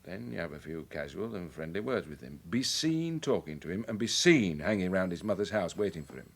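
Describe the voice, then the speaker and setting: A senior police chief in a radio drama. The voice is fairly low and moves expressively in pitch, with a measured, authoritative, matter-of-fact way of giving orders. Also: male voice, very animated, full character voice. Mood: instructing. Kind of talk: naturalistic radio drama acting.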